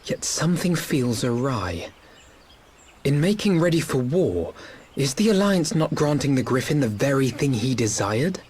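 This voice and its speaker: A man's voice: medium pitch, big pitch swings, unhurried, a little mellow, slight background noise. An actor playing an earnest, thoughtful young nobleman, speaking formally and articulately in a fantasy game cutscene. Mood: pensive, uneasy. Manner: formal, articulate, archaic wording.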